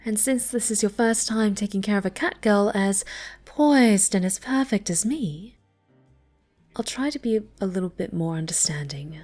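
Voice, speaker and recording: A woman voicing a snobby, bratty but secretly soft young cat girl in an ASMR roleplay, with a haughty, pouty manner and flustered stammering. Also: medium pitch, normal speed, soft background music, natural voice. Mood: sheepish, softening.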